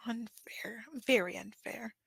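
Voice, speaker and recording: A woman's voice: a little high, fairly even intonation, slow, gravelly, slightly rough, dry recording, heavily acted. A woman voicing a teasing, easily indignant young man in an amateur audio fan fiction, speaking with playful emphasis.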